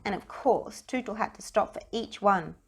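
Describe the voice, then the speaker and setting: A woman reading a children's story aloud in an expressive narrating style. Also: mid-range voice, moderate pace, slightly rough. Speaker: storybook reader.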